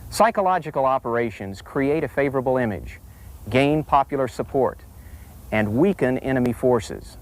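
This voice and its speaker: American male, mid-range voice, formal and measured presenter for scripted military instructional narration. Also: mid-range voice, very animated, rough recording. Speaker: military training film presenter.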